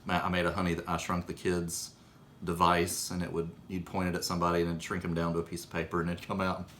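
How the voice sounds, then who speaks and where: A man casually telling rambling anecdotes in conversation, halting and correcting himself as he goes. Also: deep voice, monotone, fairly bright tone, a little hiss or hum. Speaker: storyteller recalling his own childhood.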